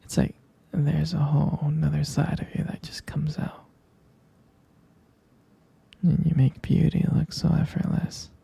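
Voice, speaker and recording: A man playing a tender, adoring boyfriend in an intimate romantic ASMR roleplay, whispering softly, gently and hesitantly. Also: on the high side, little pitch movement, unhurried, long pauses, no echo, exaggerated voice. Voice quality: soft, breathy whisper with gravelly creak.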